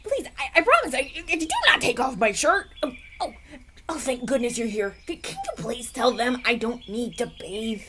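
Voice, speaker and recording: A man's voice: very high-pitched, expressive, moderate pace, noisy background. A man playing a flustered, polite young human prince in a fantasy audio roleplay, stammering and awkward. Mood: flustered, pleading. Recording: outdoor nature ambience behind.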